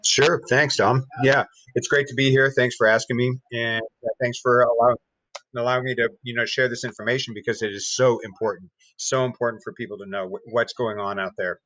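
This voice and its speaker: Bright-voiced, earnest American man. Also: mid-range voice, steady tone, brisk, dry recording, low-quality recording. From US West Coast. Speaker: psychotherapist, podcast guest. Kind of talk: personal storytelling in interview.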